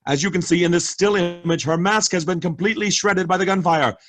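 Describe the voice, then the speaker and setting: An actor in a staged reading playing a TV news anchor with a newscaster cadence who stammers when flustered. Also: male voice, sits high, fairly quick, fairly bright tone, dry recording. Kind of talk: virtual staged play reading.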